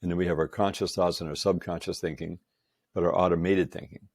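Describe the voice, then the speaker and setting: Gravelly deep-voiced man in his sixties. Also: steady tone, quick pace, a little mellow, no room sound. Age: 60s. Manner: enthusiastic, emphatic, laughs often. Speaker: podcast guest. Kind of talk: casual chat.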